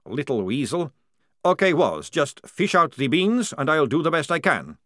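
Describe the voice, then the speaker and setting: Gloomy male soldier, moaning, slow drawl, voiced by a man. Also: a little high, sing-song, pushed and bright, no echo, clean studio sound, pitched above the performer's own voice.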